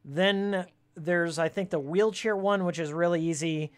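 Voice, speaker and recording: A live game streamer with a medium-pitched, raspy voice that sounds worn from screaming. The talk is casual and rambling, full of fan trivia, slang and blunt, joking opinions. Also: unhurried.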